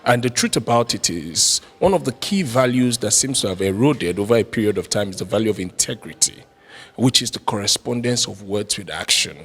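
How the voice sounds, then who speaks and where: A man's voice: lowish voice, lively intonation, brisk, fairly bright tone, breathy edge. A man with a Nigerian accent giving a formal, articulate interview answer. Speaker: interviewed commentator.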